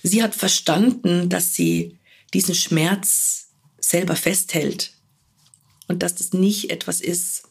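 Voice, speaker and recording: A woman talks in an unhurried, earnest monologue, her voice hoarse and husky from a cold. There is a gravelly edge to her lowish voice, and she leans on soft fillers and repeated words. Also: fairly even intonation. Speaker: podcast host, animal communicator. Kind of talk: solo podcast monologue.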